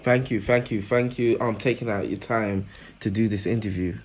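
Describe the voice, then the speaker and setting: Male host, lowish voice, earnest and repetitive, casual interview delivery. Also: steady tone, normal speed. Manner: earnest, repeats phrases, lifts pitch when introducing.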